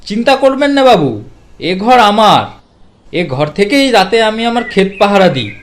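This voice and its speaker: A man's voice: high voice, voice pushed up. A man voicing a kindly village field watchman who speaks politely. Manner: polite, addresses the listener as babu.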